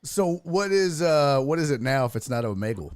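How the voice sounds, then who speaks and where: A man's voice: fairly high pitch, expressive, moderate pace, no echo, studio quality. A man hosting a casual interview show, talking in slangy, profane, buddy-to-buddy style. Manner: profane, slangy, addresses guest as dude. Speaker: talk show host and comic.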